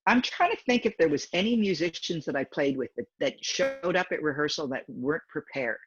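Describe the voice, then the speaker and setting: A woman in her fifties, a singer with a low, deep voice, chatting in an interview and rambling with many restarts. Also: animated, fairly quick, highs cut off. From Canada. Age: 50s. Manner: rambling, talkative, frequent restarts. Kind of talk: casual interview chat.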